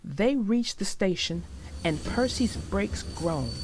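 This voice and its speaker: A woman reading a children's storybook aloud in an animated storytelling manner. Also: medium pitch, slow, husky. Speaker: storybook narrator.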